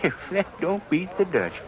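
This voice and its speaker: An actor playing a flustered, folksy small-town American fair official who stammers and fusses, in 1950s radio western style. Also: male voice, high-pitched, sing-song, takes it slowly, heavily acted. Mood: amazed, amused. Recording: dull vintage radio recording with crowd.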